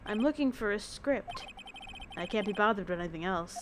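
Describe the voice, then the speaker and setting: A woman doing a deadpan curt producer lady. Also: medium pitch, normal speed, noisy background, close to an everyday voice. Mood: dismissive. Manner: deadpan, clipped and dismissive. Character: cold, curt female TV producer.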